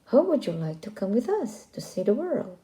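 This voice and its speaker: Woman voicing a sociable storybook rooster, mellow and soft-edged. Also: medium pitch, very animated, normal speed, natural voice, low-quality recording. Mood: eager, inviting. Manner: eager, inviting. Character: friendly adventurous rooster, sociable leader of travelers. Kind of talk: storybook character voice.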